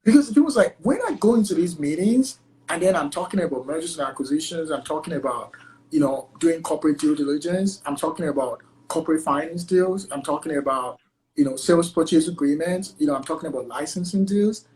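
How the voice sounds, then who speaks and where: A young man with a thick Nigerian accent, a lawyer talking as an interview guest on a video call, animated and full of fillers. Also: a little high, brisk, a little hiss or hum, low-quality recording. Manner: animated, chatty, many fillers like "like" and "you know". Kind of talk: casual interview conversation.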